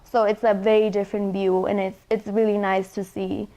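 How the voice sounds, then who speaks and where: A woman's voice: mid-range voice, level tone, moderate pace, warm tone. A young woman from Ecuador, a college student, answering interview questions in a casual, conversational way with frequent "you know". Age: young adult.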